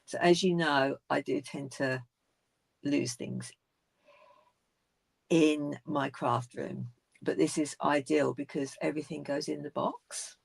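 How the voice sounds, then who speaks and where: Slow croaky older woman demonstrating crafts. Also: deep voice, steady tone, no echo. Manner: chatty, unboxing items one by one. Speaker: craft video host.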